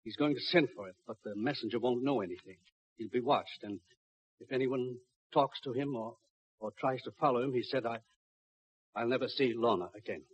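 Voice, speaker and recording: An actor playing a kidnapped girl's anxious father, halting and strained, in 1950s radio drama style. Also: medium pitch, slightly rough, distant mic, close to an everyday voice. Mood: tense reporting. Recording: muffled 1950s radio recording, off mic.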